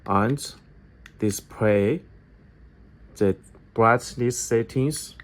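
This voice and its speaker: A man giving a step-by-step product tutorial in halting English, often repeating his phrases. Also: mid-range voice. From China. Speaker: product demonstrator for an electronics company.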